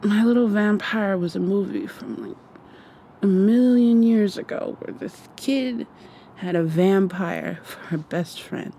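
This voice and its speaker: A woman performs a weary human captive in a vampire roleplay. She is sardonic and deadpan, speaking slowly in a mellow, drowsy voice over faint noise. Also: medium pitch, lightly acted. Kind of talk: ASMR roleplay.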